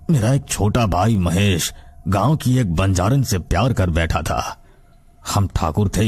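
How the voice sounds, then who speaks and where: A man playing a grave old village grandfather in an animated story. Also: on the low side, husky. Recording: loud music under the voice.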